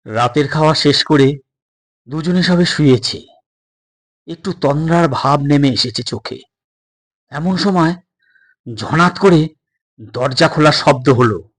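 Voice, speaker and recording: A man's voice: fairly high pitch, a little slow, long pauses. A man narrating a suspense story as a storyteller, in a dramatic scripted style.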